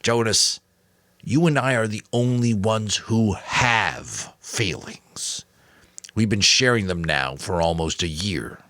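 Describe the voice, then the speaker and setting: A man's voice: fairly low pitch, lively intonation, pushed and bright, dry recording, exaggerated voice. A man voicing a grave, weary old mentor, firm but kind, in a dramatic audiobook. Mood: weary, resolved. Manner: firm, solemn, deliberate. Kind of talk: dramatic audiobook character reading.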